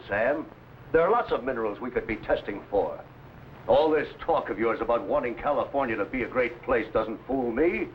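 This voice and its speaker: An actor playing a proud, anxious frontier landowner in an old adventure serial, speaking in an earnest, declamatory way. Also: male voice, medium pitch, slight background noise, lightly acted. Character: John Sutter, proud frontier landowner, anxious for his land. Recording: dull vintage recording, loud music.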